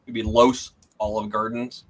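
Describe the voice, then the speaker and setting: A man's voice: lowish voice, little pitch movement, moderate pace, a little hiss or hum. Male comedy game show host. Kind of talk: casual comedic banter, hosting.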